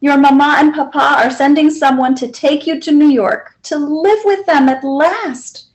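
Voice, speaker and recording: A woman voicing a loving old Mexican grandmother, gentle and sorrowful, in a storybook. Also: high-pitched, normal speed, pitched above the performer's own voice, natural voice.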